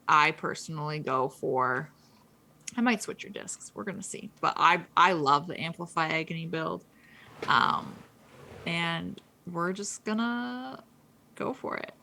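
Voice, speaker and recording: Bright-voiced chatty woman. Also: fairly low pitch. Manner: chatty, quick, lots of fillers and restarts. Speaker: co-host. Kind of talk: casual gaming podcast chat.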